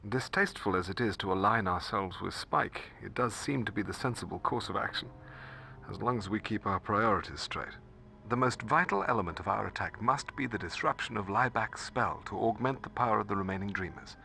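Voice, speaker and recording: An actor playing a scholarly male mentor who speaks in a formal, measured, stern way. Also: on the low side, animated, creaky, sharp tone, slight background noise, full character voice. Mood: grave. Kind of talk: video game voice acting.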